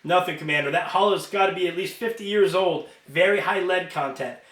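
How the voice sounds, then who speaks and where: A man's voice: sits high, fairly quick, a bit bright. A man voicing a centaur tech expert in a storybook, a matter-of-fact underling with a smug, sulky edge. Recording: roomy, echoing home recording.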